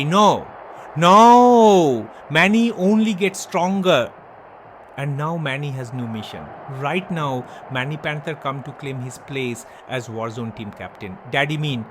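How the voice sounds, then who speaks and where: A man's voice: a little slow, background noise, natural voice. A man playing a boastful, crude male wrestler with a Bangladeshi English accent, speaking broken English in a taunting wrestling promo. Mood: boasting, then scheming. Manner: broken English, taunting, swings from yelling to low purring. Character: boastful male wrestler, self-proclaimed king, brash and crude.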